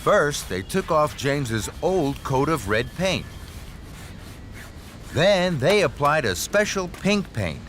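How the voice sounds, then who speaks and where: A man narrating a scripted children's story in an expressive storytelling manner. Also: fairly high pitch, slow pace. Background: music.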